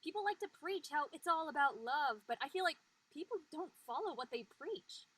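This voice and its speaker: Very high, animated woman playing a youngster. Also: a little fast. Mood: earnest, frustrated. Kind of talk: dramatic dialogue in an audio play. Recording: clear background ambient noise.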